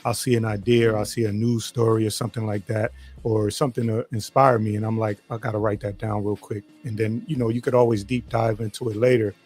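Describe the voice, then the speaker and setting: A man's voice: lowish voice, little pitch movement, quick pace, a little mellow, slightly rough. A man hosting an interview, asking long, rambling questions with many restarts. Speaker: podcast host.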